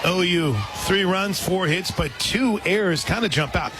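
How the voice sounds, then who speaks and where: A man doing live play-by-play softball commentary for radio as the announcer. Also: high voice, unhurried. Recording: loud crowd and stadium noise. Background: loud crowd, stadium ambience.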